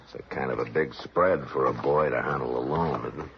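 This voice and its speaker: An actor playing a stern, weary frontier marshal with a wry, plain-spoken manner in an old radio western. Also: male voice, very deep voice, brisk, gravelly. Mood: gentle. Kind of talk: 1950s radio western acting. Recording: dull old radio recording, crowd noise.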